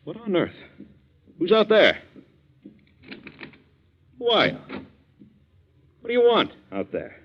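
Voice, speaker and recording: Muffled vintage radio sound, wealthy formal husband, emphatic, animated pitch, stern yet kindly. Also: male voice, takes it slowly, pauses often, slight background noise, exaggerated voice. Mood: startled. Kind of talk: 1940s radio drama acting.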